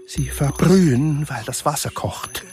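A man narrating a radio drama in a grave, measured, solemn way. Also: a little high, darkish tone, faint music behind. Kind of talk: slow, solemn literary narration.

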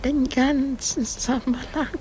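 A woman's voice: high voice, steady tone, slow pace, a little mellow, a little hiss or hum. An actress in a radio drama playing a weary, querulous old married woman, dramatic, self-pitying and given to exclamations. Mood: wistful, exasperated.

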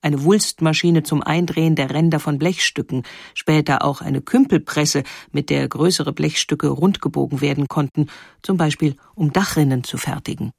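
A woman reading scripted documentary narration in a measured, explanatory way. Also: low-pitched, gravelly, darkish tone, breathy edge, no echo, studio quality.

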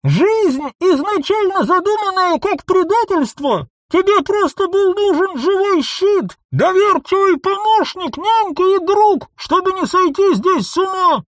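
A male narrator voicing a hateful, betrayed woman shouting from inside a space helmet, her voice muffled and strained. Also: very high voice, slow, few pauses, no echo, studio quality, voice pushed up. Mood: furious. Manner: shouting accusations. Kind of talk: audiobook character voice.

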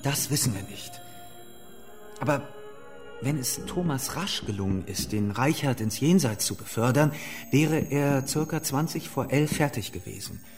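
A man's voice: mid-range voice, animated, measured pace, darkish tone, soft background music. An actor playing a sober, methodical investigator in a historical radio play, speaking precisely as he reasons. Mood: reasoning.

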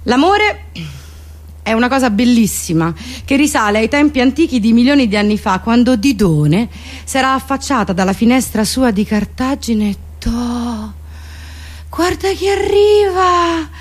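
A woman's voice: fairly high pitch, animated, normal speed. A woman delivering a theatrical comic monologue in a mock-solemn, rambling and colloquial way.

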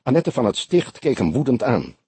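Husky male audiobook narrator with lively intonation. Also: mid-range voice, moderate pace, no room sound. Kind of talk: scripted narration of a crime novel.

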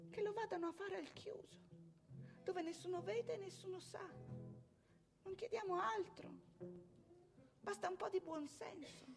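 A woman's voice: very high voice, measured pace, vocal fry, pauses often, over music, heavily acted. An actress playing an indignant, respectable middle-class Italian mother in a theatrical monologue, emphatic and moralizing. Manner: emphatic, moralizing, swinging from hushed to loud.

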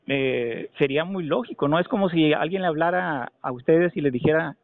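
A man's voice: on the high side, lively intonation, dry recording. Insistent Mexican man on a tinny phone line. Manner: insistent, halting, repeats phrases for emphasis. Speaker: caller. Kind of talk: phone complaint, argumentative questioning. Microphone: telephone. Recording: narrow, tinny telephone call recording.

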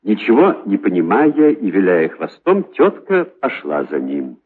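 A man narrating a children's story in an expressive, scripted storytelling style. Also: deep voice, a little slow, husky, narrow, tinny audio.

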